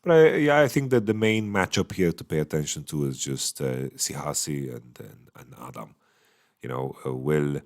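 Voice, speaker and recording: A man with a slightly hoarse voice, casually giving a solo esports recap from a small hotel room into a microphone, thinking aloud with many fillers. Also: rumbling low. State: voice going a bit hoarse. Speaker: esports analyst. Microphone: studio microphone.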